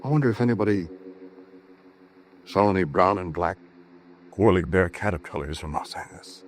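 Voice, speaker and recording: An actor playing a shaken male scientist recounting his ordeal in a halting, conversational 1940s radio monologue. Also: sits low, expressive. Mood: uneasy, brooding. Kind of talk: first-person 1940s radio drama monologue. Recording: noisy vintage 1940s radio broadcast.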